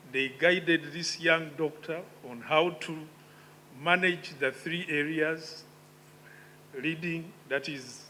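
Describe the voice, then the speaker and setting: Man giving a measured conference speech. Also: on the high side, bright, forceful tone, a little hiss or hum. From Uganda. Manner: measured, reflective, with emphatic rises. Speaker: conference speaker. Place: conference hall.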